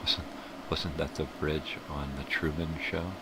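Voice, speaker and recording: A man's voice: very deep voice, level tone, unhurried. An older man hosting a live stream, rambling through casual, profane tangents while sniffly from a cold. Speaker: livestream host. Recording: clear background hum.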